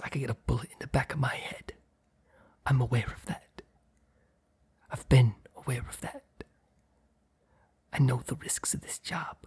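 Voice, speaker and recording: A man playing a guarded, earnest mob boss boyfriend in an intimate ASMR roleplay, speaking in a hesitant, breathy, husky whisper. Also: medium pitch, full character voice. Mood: firm, defensive. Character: guarded, apologetic young male mob boss, boyfriend confessing.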